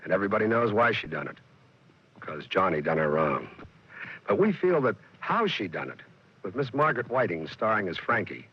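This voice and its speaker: A man's voice: on the low side, big pitch swings, brisk, breathy edge. A man hosting a 1940s radio drama, giving a wry, folksy scripted introduction on a dull old broadcast recording.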